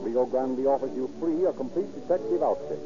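A man with an American accent announces in an emphatic 1930s radio manner. His voice sits at a middle pitch and is formal and declamatory. The old recording is dull, with music clearly audible. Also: brisk.

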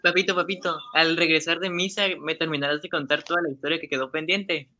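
A man's voice: on the high side, steady tone, fast talker, bright, forceful tone, slight background noise. A man playing an earnest, obedient young schoolboy in a radio drama, with a pleading, affectionate and polite manner. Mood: eager, polite. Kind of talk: amateur Spanish-language radio drama acting.

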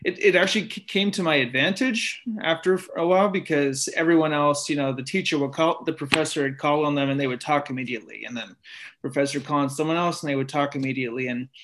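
A young man who stammers, repeating words and breaking off syllables, telling stories in relaxed casual conversation. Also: a little high, quick pace. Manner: relaxed storytelling with frequent fillers.

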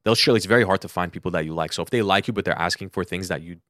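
A young American man with a fairly low voice talks quickly in casual podcast conversation. He gives advice emphatically, circling back to repeat his points. The sound is close and dry. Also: fairly bright tone, no echo. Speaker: podcast co-host. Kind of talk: casual chat giving advice.

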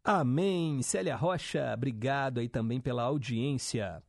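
A man's voice: mid-range voice, measured pace, gravelly, a little mellow, slight background noise. A young male radio host, chatting casually and reading listener messages with upbeat teasing asides.

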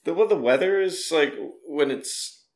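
A man's voice: a little high, expressive, measured pace, roomy sound. A young man from Canada, a comedian and actor, chatting casually as a podcast guest, laid-back and full of fillers. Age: young adult. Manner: laid-back, laughs often, lots of fillers.

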